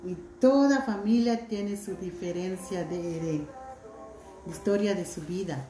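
A middle-aged woman chats to her viewers from home, heartfelt and unhurried, reading out their comments as she goes. Her voice sits a little high but sounds mellow. The phone on the table picks up an echoey room with faint music behind her. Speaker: vlogger. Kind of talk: casual chat to viewers. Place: indoors at home, by a window.

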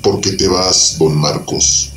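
A man playing an infernal devil spirit who bargains for souls, speaking slowly and with command in a storytelling legend. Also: low-pitched, fairly even intonation, over music. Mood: ominous, commanding. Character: infernal spirit, the devil offering a soul pact.

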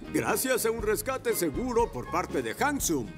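A man voicing an excitable police motorcycle officer in a cartoon dub. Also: high-pitched, slow, over music, exaggerated voice. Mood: relieved.

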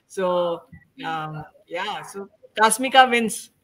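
A woman's voice: mid-range voice, expressive, slow pace, noisy background. A middle-aged woman hosts an online panel in an informal style. She takes her time as she puts questions to the group and prompts people to answer. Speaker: host of a panel discussion. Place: indoors at home, on a video call.

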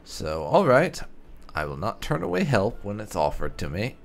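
Creaky, lively low-voiced man. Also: normal speed, faint music behind. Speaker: gaming video host. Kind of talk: roleplay playthrough commentary, reading out character replies.

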